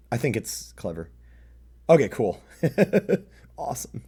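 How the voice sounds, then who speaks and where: Mellow, halting male streamer in his thirties. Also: sing-song, slightly rough. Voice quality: deep. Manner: self-deprecating, halting. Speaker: streamer reading own fan fiction. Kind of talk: casual livestream chat with viewers.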